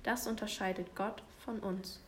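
A woman in her fifties, a pastor, speaking calmly and in a measured way as a guest in a discussion. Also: mid-range voice, slight background noise. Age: 50s.

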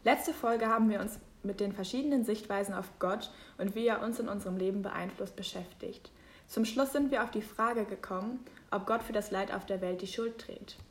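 A woman's voice: medium pitch, moderate pace. A woman hosting a podcast, friendly and reading her moderation from a script. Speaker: podcast host.

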